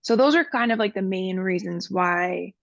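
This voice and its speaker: A woman's voice: mid-range voice, moderate pace, vocal fry, dry recording, highs cut off. A woman from Miami, a podcast guest, speaking earnestly and candidly with frequent fillers. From Miami, USA. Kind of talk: personal interview talk.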